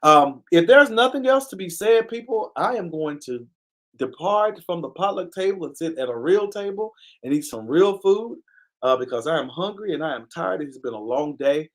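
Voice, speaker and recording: A man's voice: fairly high pitch, lively intonation. A man hosting a live online talk show, speaking earnestly in a preacher-like cadence, repeating key phrases and stopping to thank viewers by name. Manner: pauses to give viewers shout-outs. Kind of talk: casual live-stream commentary.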